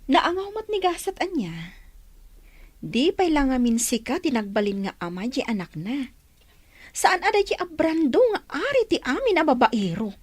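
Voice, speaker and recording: An actress playing a chatty, supportive, teasing friend in a radio drama, full of exclamations. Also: female voice, high voice, very animated, normal speed, no room sound. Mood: delighted, gossipy. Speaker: voice actor in Ilocano radio drama.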